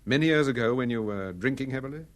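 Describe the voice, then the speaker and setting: A man playing a gentleman detective in a radio drama, courteous, calm and probing. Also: mid-range voice, fast talker, natural voice. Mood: firm, probing.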